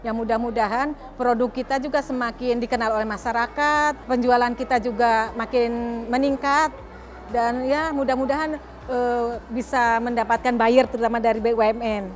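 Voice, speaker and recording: A woman's voice: on the high side, steady tone, moderate pace, faint music behind. A woman, a small business owner, giving an interview testimonial.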